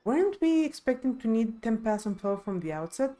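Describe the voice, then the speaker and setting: A woman's voice: a little high, very animated, fairly quick, warm tone, soft background music. A woman voicing an earnest young female claimant to a throne in a fantasy game, plain-spoken and determined.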